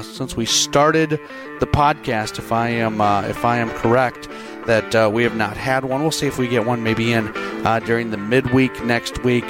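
A man hosting a sports podcast, hoarse and losing his voice to a cold. He talks in a halting, casual way full of fillers, speaking into a headset, with music clearly playing beneath him. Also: medium pitch. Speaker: podcast host.

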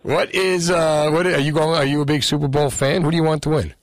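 Joking New radio host. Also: male voice, a little high, steady tone, a little fast. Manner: joking, teasing callers. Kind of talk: radio trivia call-in banter. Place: radio studio. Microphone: studio microphone.

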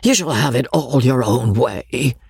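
A woman voicing a coarse, jovial old country baronet in an audiobook, with rough, gravelly, rustic speech. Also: very deep voice, lively intonation, unhurried, a little mellow, no echo, clean studio sound, heavily acted. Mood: gruff. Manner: rustic, colloquial speech, chuckling.

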